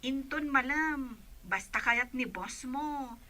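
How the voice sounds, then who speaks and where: A chatty friend on a call in a radio drama. Her voice is high and extremely bright, with a Filipino accent. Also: slow pace, full character voice. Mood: friendly.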